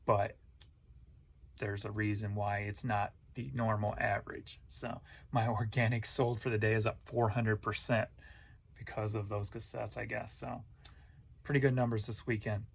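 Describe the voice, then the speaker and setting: A man's voice: fairly low pitch, level tone, normal speed, gravelly, slightly rough. An American man giving a casual, rambling vlog update as an online reseller, talking informally and thinking aloud in a folksy way.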